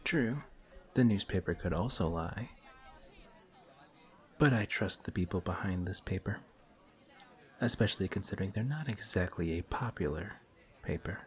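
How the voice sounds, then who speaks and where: An actor playing a courteous, formal villain who is sly and quietly taunting, in a one-sided audio roleplay. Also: male voice, low-pitched, expressive, moderate pace, long pauses, a faint crowd. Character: suave, polite male villain in civilian clothes. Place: coffee shop scene with faint café chatter.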